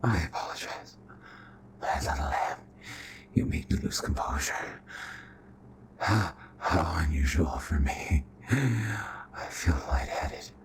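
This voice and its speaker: A man playing a charming, possessive royal vampire in an intimate ASMR roleplay, with a breathy, hushed, courtly and menacing delivery. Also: animated, takes it slowly, long pauses, heavy background noise. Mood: apologetic, soothing. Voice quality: breathy whispering, very low voice.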